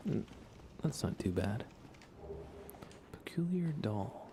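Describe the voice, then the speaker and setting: A man murmurs soft ASMR commentary while streaming a game. His low voice moves around freely in pitch and often breaks into fry. He drifts toward whispering, with clear background noise behind him. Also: big pitch swings, slow. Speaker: live streamer.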